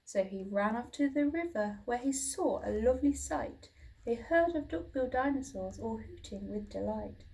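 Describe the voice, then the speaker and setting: Distant, higher-pitched woman reading a storybook. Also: expressive, moderate pace, mellow, a little hiss or hum. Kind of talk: expressive children's storybook reading. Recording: distant from the mic.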